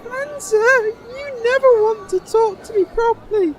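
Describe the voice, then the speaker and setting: A man's voice: very high voice, unhurried, a crowd in the background. A man voicing a meek, naive little sidekick in a comedic fantasy audio drama, whiny, plaintive and politely deferential. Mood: hurt, tearful.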